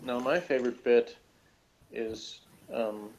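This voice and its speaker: A man's voice: medium pitch, fairly even intonation, takes it slowly, darkish tone, poor audio. A man chatting casually and critically, giving blunt opinions as a reviewer of a TV episode.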